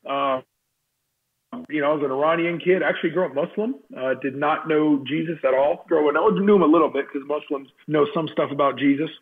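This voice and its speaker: A man from Southern California, a team chaplain appearing as a guest, telling his story in a relaxed, friendly way. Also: fairly high pitch, fairly quick, husky. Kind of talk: casual interview storytelling. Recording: remote call with tinny phone-like sound.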